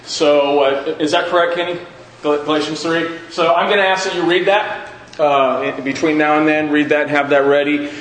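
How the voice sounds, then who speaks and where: A man with a slightly high voice leads a Bible class in a very reverberant room. He speaks informally, circling back over his questions and rewording them for the group. There is faint noise in the recording. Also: fairly even intonation, normal speed, fairly bright tone. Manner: repeats and rephrases questions for emphasis. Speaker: Bible class teacher at a church. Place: large echoey indoor room with a class present.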